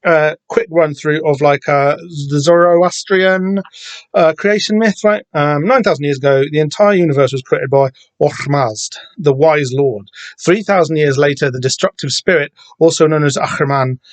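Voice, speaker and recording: A man's voice: fairly high pitch, moderate pace, no echo. Rambling man, dry close sound. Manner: rambling and digressive, many fillers and self-corrections. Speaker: podcast host. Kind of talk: solo comic book discussion podcast. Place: home room with door open on a summer day.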